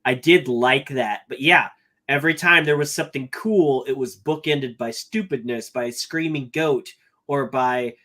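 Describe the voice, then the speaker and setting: A man's voice: mid-range voice, normal speed, bright, forceful tone. A man co-hosting a casual nerd podcast, critiquing a movie point by point. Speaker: podcast co-host. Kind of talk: casual chat.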